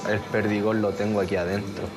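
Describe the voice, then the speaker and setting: A man's voice: lowish voice, flat delivery, fast talker. A man giving a matter-of-fact account in an archive documentary clip. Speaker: interviewed protester in a news clip. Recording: archive clip with loud music.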